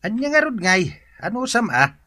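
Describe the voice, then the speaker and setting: An actor playing a gruff, scolding rural family man in a radio drama, with an Ilocano accent. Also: high-pitched, sing-song, moderate pace, sharp tone, exaggerated voice. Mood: reproachful.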